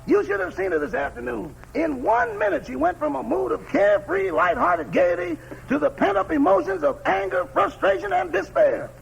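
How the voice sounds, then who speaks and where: A gravelly wisecracking valet. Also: male voice, extremely high pitch, moderate pace, few pauses, full character voice. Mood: dry, sly. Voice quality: gravelly, raspy. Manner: deadpan punchlines. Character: wisecracking male valet, dry and sly. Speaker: valet on a phone call. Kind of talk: 1950s radio comedy acting. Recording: dull lo-fi old radio broadcast.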